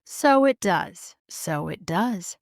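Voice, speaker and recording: A woman's voice: medium pitch, expressive, slow, dry recording, studio quality. A woman voicing a cruel, bossy stepmother with a curt, sneering manner in a children's storybook. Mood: slyly agreeing. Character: cruel, snapping, sneering middle-aged stepmother.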